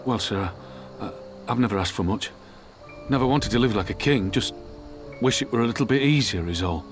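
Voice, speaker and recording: An actor playing a humble, plain-spoken soldier of a fantasy resistance, speaking earnestly in a dramatic game scene. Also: male voice, mid-range voice, brisk, music in the background, close to an everyday voice. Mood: deferential, earnest.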